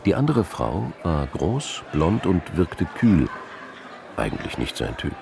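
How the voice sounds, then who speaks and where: A man with a gravelly voice narrating a scripted crime drama in a studio. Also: low-pitched, very animated, slow pace, background noise. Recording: music behind.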